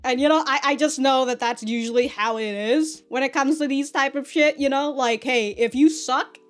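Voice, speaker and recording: A woman with a fairly high voice hosts a casual reaction review over background music. She talks in loose slang and swears freely. Her manner is mock-indignant and quick to laugh. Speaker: reaction channel host. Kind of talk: casual episode review commentary.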